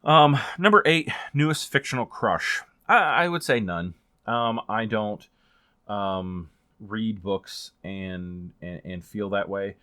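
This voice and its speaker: Low-voiced man chatting about books. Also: takes it slowly, pushed and bright, no room sound, studio quality. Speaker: book reviewer. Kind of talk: casual chatty book vlog.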